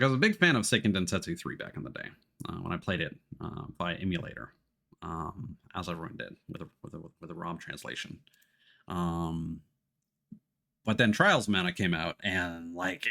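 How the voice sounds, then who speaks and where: A man's voice: deep voice, vocal fry, sharp tone. A man live-streaming games, chatting casually to viewers and thinking aloud in informal gamer slang with some swearing, on a close desk microphone. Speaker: live streamer, let's play host. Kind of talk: casual chat with viewers while setting up a game stream. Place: indoors at a computer.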